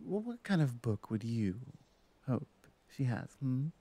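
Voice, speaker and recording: A woman's voice: rumbling low, very animated, measured pace, mellow, breathy edge, full character voice. A woman playing a teasing, devoted female orc shaman in an intimate, chatty ASMR roleplay, with playful, hesitant asides. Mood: playful, flirtatious. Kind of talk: ASMR roleplay, intimate one-sided conversation.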